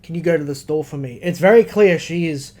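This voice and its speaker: A man's voice: a little high, fairly quick, a little mellow. An Australian man co-hosting a podcast, bantering casually with a blunt, emphatic delivery and plenty of swearing. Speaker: podcast co-host. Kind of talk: casual banter between mates.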